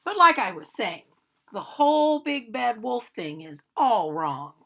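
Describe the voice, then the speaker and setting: Storybook big bad wolf, played by a woman: chatty, aggrieved, theatrical, highly animated, unhurried delivery. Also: creaky, heavily acted. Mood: indignant, chatty. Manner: chatty, theatrical, protesting innocence. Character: aggrieved, self-justifying male big bad wolf telling his story. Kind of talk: animated storybook read-aloud.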